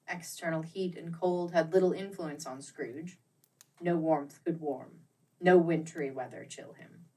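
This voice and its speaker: Theatrical woman storyteller, slightly distant. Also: fairly low pitch. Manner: theatrical, deliberate phrasing with strong swells and hushes. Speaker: narrator. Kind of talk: dramatic storybook narration.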